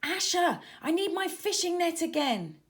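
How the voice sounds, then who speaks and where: A woman's voice: very high voice, sing-song, unhurried, pitched above the performer's own voice, exaggerated voice. A woman voicing a brave, eager young girl firefighter in a children's storybook. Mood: excited, urgent.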